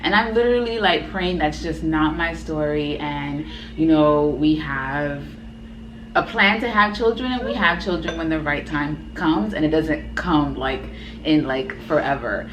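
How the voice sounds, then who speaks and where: Woman, 30s, co-host; earnest and reflective, lowish voice, echoey room with steady hiss. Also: unhurried. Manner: earnest, reflective, thinks aloud. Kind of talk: casual chat.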